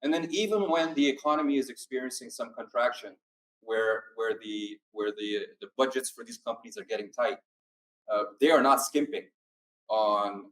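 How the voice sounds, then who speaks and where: A man's voice: fairly low pitch, poor audio. A man from Pakistan presenting at a business seminar, explaining in a mix of languages with frequent fillers. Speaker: immigration firm speaker.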